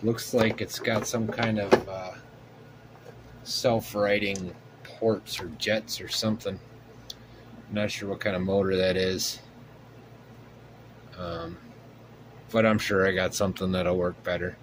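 A man's voice: deep voice, level tone, takes it slowly, long pauses, background noise. A man hosting a casual hobby video at his home workshop bench, thinking aloud with lots of fillers as he handles his project. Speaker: RC hobby channel host. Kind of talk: casual workbench show-and-tell.

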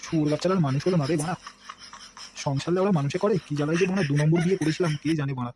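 A man's voice: fairly high pitch, very fast, natural voice. A man voicing a foul-mouthed, hot-tempered middle-aged father in a comic cartoon, grumbling and cursing. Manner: crude, grumbling, shouts threats. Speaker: voice actor. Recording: noisy homemade recording.

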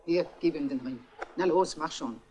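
An actor playing a sharp-tongued, resentful poor village mother, blunt and bossy, in a 1960s radio crime drama. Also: on the high side, moderate pace, crowd noise, lightly acted. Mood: bossy, irritated.

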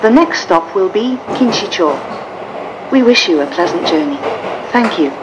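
A woman's voice: mid-range voice, animated, slow, lo-fi sound. A woman reading a formal, courteous scripted announcement over a train's speakers. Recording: train speaker with clear railway noise. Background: train running noise.

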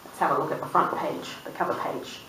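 A woman in her thirties reading a picture book aloud to young children, expressive and sing-song, drawing out words and putting questions to the listener. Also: low voice, quick pace, a little hiss or hum. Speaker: children's storyteller and channel host. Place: indoors in a room, seated before a dark backdrop. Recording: roomy, distant microphone.

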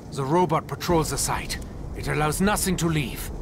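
An actor playing an excitable, obsessive mad scientist in a zombie video game, with a theatrical delivery. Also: male voice, a little high, moderate pace, background noise, lightly acted. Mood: urgent explaining. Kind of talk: video game character acting. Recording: loud game music behind.

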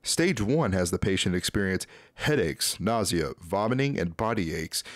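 A young man with a fairly low voice narrates a horror series recap as a video host. His delivery is scripted yet conversational and casual. He is recorded close on a desk mic in a small room, with a dry sound. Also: lively intonation, moderate pace, no room sound. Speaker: YouTube host. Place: small dim home room, indoors. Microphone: close desk microphone.

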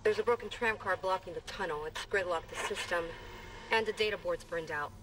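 An actress playing a tense, blunt young repair technician speaking on a video call in a sci-fi game. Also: female voice, lowish voice, brisk, close to an everyday voice. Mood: tense, explanatory. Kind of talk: naturalistic video game acting. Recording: video call with noisy background.

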